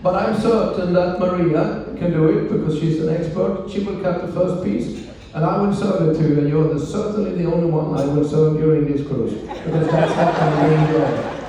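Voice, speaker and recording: A ship's captain, a man from Sweden with a Swedish accent, giving a slow, joking speech at a ceremony on a cruise ship. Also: a little high, fairly even intonation. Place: event room on a cruise ship. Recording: echoey room, distant mic, faint noise.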